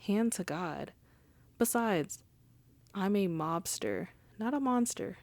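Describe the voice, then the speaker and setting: A woman's voice: medium pitch, expressive, takes it slowly, a little mellow, dry recording. A woman playing a wry, charming female mafia boss in an intimate ASMR roleplay, conversational and teasing. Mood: apologetic, reassuring. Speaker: audio roleplay voice actor.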